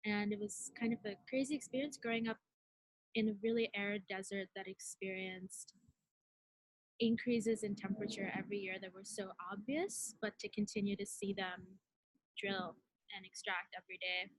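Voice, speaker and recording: A woman's voice: mid-range voice, steady tone, moderate pace, dry recording, low-quality recording. A woman in her twenties from India, introducing herself as a delegation co-leader in an earnest, conversational webinar talk with frequent fillers.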